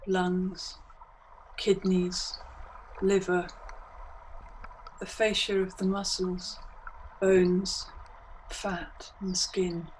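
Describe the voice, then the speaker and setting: A woman leads a guided meditation at a very unhurried pace. Her phrases are short and hypnotic, set apart by long pauses, and her pitch stays flat and steady. An ambient soundscape and noticeable noise sit behind her voice. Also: poor audio. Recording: clearly audible background noise, ambient soundscape.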